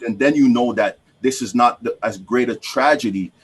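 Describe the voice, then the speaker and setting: A man's voice: mid-range voice, moderate pace, breathy edge. A man speaking on a webinar panel over a video call, reflective and faith-driven, with hesitant restarts.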